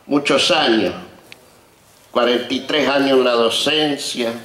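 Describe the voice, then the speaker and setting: Slow, emotional older Argentine man at a podium. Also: medium pitch, a bit bright. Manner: halting, reminiscing, with deliberate pauses. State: emotional, trembling. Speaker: retired teacher and honoree giving a speech. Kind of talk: ceremonial acceptance speech. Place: outdoor colonial courtyard before a seated audience. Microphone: podium microphones on stands. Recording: roomy, distant public-address sound.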